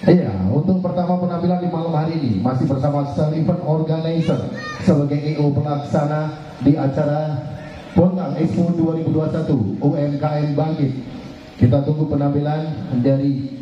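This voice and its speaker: A man's voice: a little high, little pitch movement, brisk, slight background noise. Man announcing over an echoey outdoor PA. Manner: loose, chatty announcing with fillers. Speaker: event MC. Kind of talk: live event announcing. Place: outdoor stage at night. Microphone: handheld mic over PA. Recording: distant, echoey PA, lo-fi camera.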